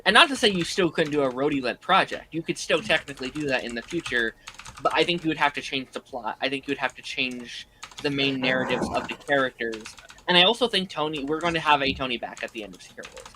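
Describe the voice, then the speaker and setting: A man who is a little hoarse from being under the weather, chatting casually on a podcast and filling his talk with "like". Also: medium pitch, expressive, quick pace, background noise. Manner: rambling, many "like" fillers.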